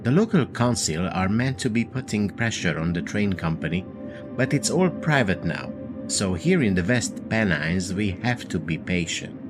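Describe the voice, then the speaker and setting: Radio play: male actor as polite, effusive hotel host, lowish pitch, very varied intonation, music underneath. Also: animated, moderate pace. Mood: genial, reassuring. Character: courteous, genial male manager of a remote hotel. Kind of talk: audio drama acting.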